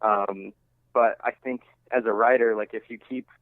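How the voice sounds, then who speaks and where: A man giving a casual radio interview as a musician, talking informally and haltingly, working out each thought as he goes. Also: on the low side, steady tone, no room sound. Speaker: interviewed singer-songwriter and music teacher. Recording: thin phone-line sound.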